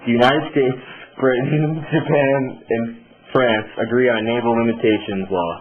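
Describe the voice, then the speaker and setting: A man's voice: medium pitch, steady tone, moderate pace. A man hosting a radio show, reading a scripted year-in-review narration. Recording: narrow phone-like radio sound.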